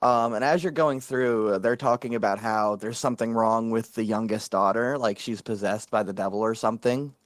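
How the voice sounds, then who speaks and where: Guy with a lowish voice, rambly, lots of ums and likes, dry close mic. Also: fairly even intonation, brisk, hardly any pauses, dry recording. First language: English. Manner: rambling, many fillers and restarts. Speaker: guest VTuber and streamer. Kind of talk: casual interview chat.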